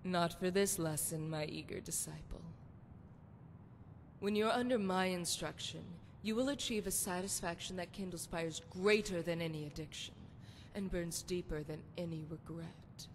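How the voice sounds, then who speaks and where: A woman's voice: normal speed, natural voice. A woman playing a seductive, flirtatious priestess of a love goddess in a video game, with sultry, poetic, theatrical phrasing. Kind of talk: scripted video game character dialogue. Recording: long hall reverb, noisy rumbling background.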